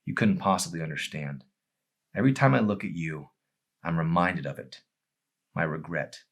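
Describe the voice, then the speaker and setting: A man voicing a tormented, withdrawn young man in an audiobook, halting and anguished with guilt. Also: low-pitched. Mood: remorseful.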